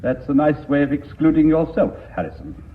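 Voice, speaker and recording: An actor playing a touchy, suspicious company board member in a radio drama. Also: male voice, on the high side, sing-song, a little fast. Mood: affronted. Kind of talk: BBC radio drama acting. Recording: thin phone-like radio recording with noise.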